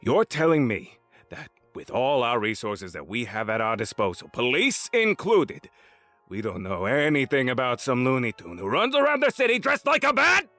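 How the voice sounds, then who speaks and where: Hot-tempered, animated mob boss. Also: male voice, medium pitch, moderate pace, faint music behind. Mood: impatient, menacing. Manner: exasperated, emphatic questioning. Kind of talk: audio drama acting.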